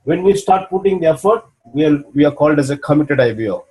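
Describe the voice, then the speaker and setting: A man with a somewhat raised voice walks a listener through steps on a video call. He is patient and steady, tagging each point with a quick "okay?" to make sure he is being followed. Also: a little high, rough recording. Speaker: business mentor. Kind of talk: video call coaching session.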